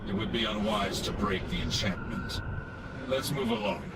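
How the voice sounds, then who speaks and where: An actor playing a stoic, world-weary undead knight commander in a fantasy game, giving solemn, formal military orders. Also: male voice, fairly low pitch, normal speed, heavy background noise, lightly acted. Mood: grim, solemn. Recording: game audio under loud battle ambience, distant.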